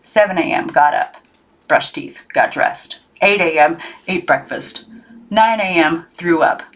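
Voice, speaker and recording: Lower-pitched woman reading a story aloud. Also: unhurried, fairly bright tone. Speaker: audiobook reader. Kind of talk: expressive storybook narration.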